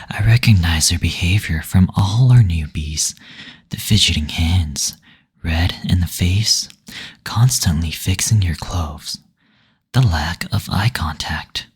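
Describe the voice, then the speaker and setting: A very husky, near-whispering male demon, played by a man, speaking low and unhurried. He is suave and courtly, teasing with coy endearments and lingering hums, as in an intimate roleplay audio. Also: full character voice.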